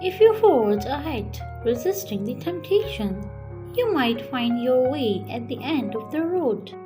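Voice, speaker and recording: A woman voicing a wise, helpful male bird in a children's story, giving careful guidance with a raspy edge. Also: high-pitched, big pitch swings, measured pace, over music. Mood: solemn warning. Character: ugly, ragged old-looking male bird, helpful and wise.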